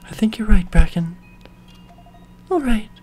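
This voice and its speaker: A man's voice: high-pitched, expressive, unhurried, warm tone, slight background noise, voice pushed up. A man reading in a raised voice as a folksy young adventurer. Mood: eager. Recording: faint game music behind.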